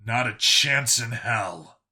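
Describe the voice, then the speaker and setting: A man voicing a hardened, grimly sardonic human lawman with a flat, blunt, deadpan manner in an audiobook story. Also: mid-range voice, normal speed. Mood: flat, firm.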